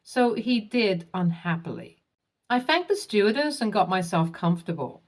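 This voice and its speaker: A woman narrating online posts in a scripted, even reading that spells out acronyms letter by letter. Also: medium pitch, normal speed.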